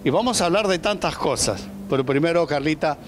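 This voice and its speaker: Effusive older man hosting, slightly high voice. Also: lively intonation, brisk, noisy background. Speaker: TV show host. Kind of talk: interviewing a guest on a community TV show. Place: indoor studio set in a market hall. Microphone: handheld microphone.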